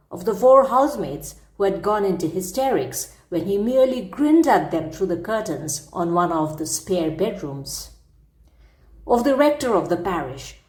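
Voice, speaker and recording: A woman narrating a classic story as an audiobook, with measured, precise phrasing. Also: mid-range voice, darkish tone. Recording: roomy, echoing sound.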